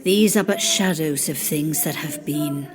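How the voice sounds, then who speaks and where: An actor voicing a solemn ghostly spirit guide in a radio play, with measured delivery. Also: medium pitch, close to an everyday voice. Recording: music over the voice.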